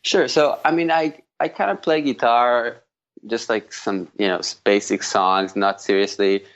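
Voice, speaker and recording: A man in his thirties with an Israeli accent, chatting as an interview guest in a loose, halting way. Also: mid-range voice, a bit bright, highs cut off. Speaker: podcast guest, jazz guitarist. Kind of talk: casual conversational interview.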